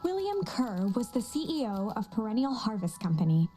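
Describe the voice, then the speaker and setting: Playful female storybook narrator, theatrical, coy, deliberate, video game narration, instrumental music behind. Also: on the high side, very animated, dark tone. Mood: measured storytelling. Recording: music under the voice. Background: guitar and instrumental music.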